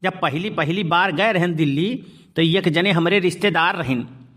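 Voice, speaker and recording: High, bright village uncle played by a man. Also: moderate pace. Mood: folksy storytelling. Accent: Awadhi. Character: folksy village uncle telling tall anecdotes. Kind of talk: cartoon storytelling in rustic dialect.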